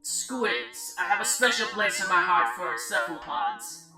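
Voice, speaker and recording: Creaky, imperious eldritch goddess. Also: female voice, medium pitch, measured pace, distant mic, loud music behind the voice. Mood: blunt, detached. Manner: haughty, formal, oddly literal phrasing. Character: alien eldritch goddess diner, female, imperious and blunt.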